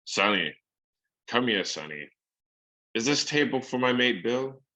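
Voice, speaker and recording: A man's voice: mid-range voice, animated, unhurried, pushed and bright. A man playing a sly, menacing old pirate who coaxes in rustic seafaring talk for a radio drama. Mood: sly, wheedling.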